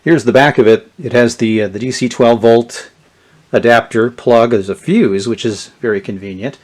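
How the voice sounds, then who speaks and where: A man's voice: medium pitch, normal speed, darkish tone. A man giving a casual, unscripted hands-on product walkthrough in a plainspoken, explanatory manner. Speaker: gadget reviewer. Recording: clear background noise and clicks.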